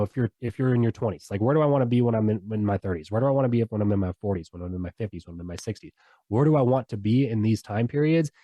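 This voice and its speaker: Mellow-voiced guy on a podcast, hyped and persuasive, slangy. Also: mid-range voice, no room sound. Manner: animated, persuasive, loose and slangy. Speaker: podcast guest, software founder. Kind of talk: casual interview talk.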